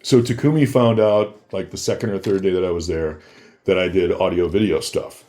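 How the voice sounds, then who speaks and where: A man's voice: deep voice, steady tone, fairly quick, dark tone. A man speaking as a podcast guest, telling anecdotes casually and acting out short bits of dialogue as he goes.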